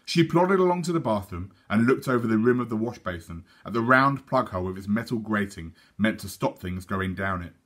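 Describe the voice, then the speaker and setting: A man reading a children's story aloud like a teacher, in a measured, expressive way. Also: on the low side. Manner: measured, animated.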